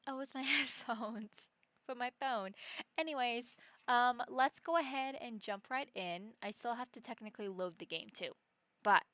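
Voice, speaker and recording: A woman's voice: on the high side, bright, forceful tone, smooth, no room sound. A young adult woman, chatting casually and in a rambling, informal way as a game streamer. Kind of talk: casual livestream chat and game commentary.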